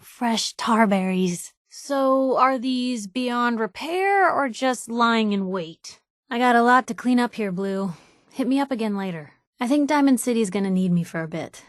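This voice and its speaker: A young woman reporter roaming a post-apocalyptic game world. Her voice sits on the high side, and she talks in a wry, conversational way, plucky and quick with a sardonic quip. The recording is close and dry, with no room around it. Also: no room sound. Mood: wry, musing. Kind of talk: video game companion voice acting.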